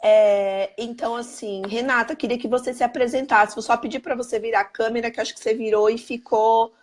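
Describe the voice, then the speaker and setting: A hoarse woman with a Brazilian Portuguese accent, hosting a live stream in an upbeat way and greeting viewers by name. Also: on the high side, steady tone, fairly quick. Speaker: host.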